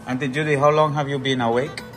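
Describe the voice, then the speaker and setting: A man's voice: mid-range voice, steady tone, fast, a bit bright, faint music behind. A man hosting a casual vlog, explaining a recipe and often checking in with short tag questions. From Trinidad. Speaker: vlog host. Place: home kitchen.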